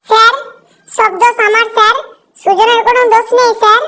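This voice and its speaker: A woman's voice: extremely high pitch, level tone, brisk, pushed and bright. Tiny-voiced lovestruck cartoon girl, a woman acting. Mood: pleading. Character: devoted underage girl in love, earnest and stubborn. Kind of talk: amateur cartoon romance dialogue. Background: background music.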